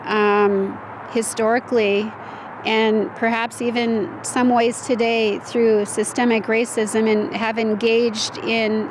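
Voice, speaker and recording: A university president speaks outdoors in a slow, flat, deliberate statement, pausing between phrases. Her voice is fairly high, and clear outdoor traffic noise sits under it. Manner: deliberate, with pauses. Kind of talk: interview statement.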